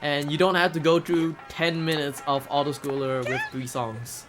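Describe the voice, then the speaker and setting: Someone doing casual speedrun commentary while playing, excitable and prone to playful exclamations and acted-out reactions. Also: mid-range voice, normal speed, music in the background.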